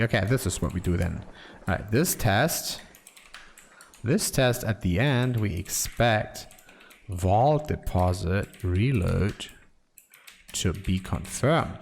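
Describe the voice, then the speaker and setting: A man streaming himself coding, thinking aloud in a slow, halting way and reading code out as he types. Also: fairly low pitch, slight background noise. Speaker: programmer streaming live coding.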